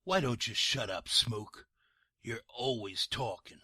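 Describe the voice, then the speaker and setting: A surly, bossy thug acted by a man in a noir radio drama. His mid-pitched voice is hard and forceful with a rough rasp, and he sounds impatient and slangy. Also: slow pace, no room sound, studio quality, heavily acted. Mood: irritated. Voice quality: rough, raspy. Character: surly, bossy, self-satisfied male gangster thug.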